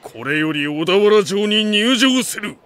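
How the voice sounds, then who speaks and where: An actor playing an arrogant, mighty warlord in an anime drama CD, with an imperious, scornful and grandiose manner. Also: male voice, sits high, expressive, a little slow, background noise. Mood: imperious, grave. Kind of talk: anime game drama CD acting. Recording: music behind the voice.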